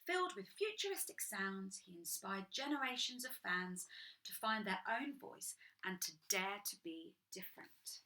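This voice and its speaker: A woman's voice: mid-range voice, lively intonation, moderate pace, bright, forceful tone, poor audio. A young woman reading a picture book to children, breaking off for chatty, enthusiastic asides. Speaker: children's storytime reader.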